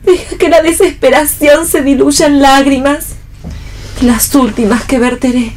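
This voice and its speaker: A woman's voice: high voice, steady tone, measured pace, darkish tone. A woman playing a lovelorn, despairing rich daughter in a read radio play. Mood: anguished.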